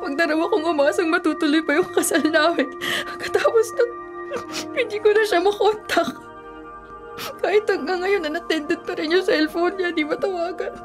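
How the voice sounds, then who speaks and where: Very high-voiced heartbroken young bride. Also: female voice, normal speed. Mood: tearful. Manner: plaintive, sorrowful. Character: heartbroken, tearful young jilted bride, devout daughter. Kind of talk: radio drama acting. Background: music.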